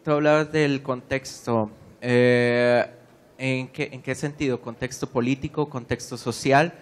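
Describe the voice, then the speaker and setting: A man from the audience puts a polite, slightly hesitant question to the panel. His voice is smooth and mid-pitched. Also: fairly even intonation, a little slow. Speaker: audience member asking a question. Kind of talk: question from the floor.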